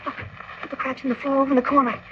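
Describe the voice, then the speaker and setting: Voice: high voice, flat delivery, racing, lightly acted. An actor playing an eager, anxious boy sidekick in a 1940s-style radio serial, earnest and full of questions. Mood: frightened. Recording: muffled, hissy vintage radio recording.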